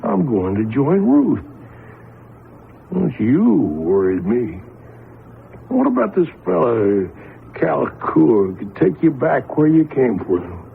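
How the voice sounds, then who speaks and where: Through a thin, reverberant radio recording with some hiss comes a tender, dying old father. He speaks slowly and wearily, with a gravelly creak in his voice. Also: medium pitch, wildly swooping pitch, full character voice. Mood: weary, tender. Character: gentle dying old father, weary and loving. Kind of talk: radio drama acting. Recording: tinny, noisy, echoey old radio broadcast.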